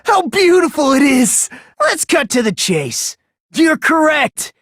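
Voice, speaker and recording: A woman with an American accent voicing a polite, soft-spoken young man with an unsettling hopefulness in a video game. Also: high-pitched, big pitch swings, takes it slowly, no echo, studio quality, full character voice. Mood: elated, unhinged. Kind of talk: English video game voice acting.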